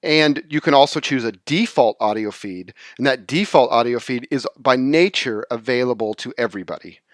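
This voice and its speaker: A man presenting an unscripted software tutorial, explaining in a halting way and rephrasing as he goes. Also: mid-range voice, dry recording, studio quality. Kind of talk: informal screen-share tutorial, unscripted explanation.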